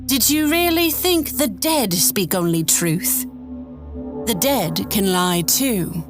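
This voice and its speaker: A woman's voice: mid-range voice, expressive, slow, music in the background. A woman playing a stern, menacing Victorian headmistress in an audio drama, speaking with severe deliberation. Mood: cold, menacing.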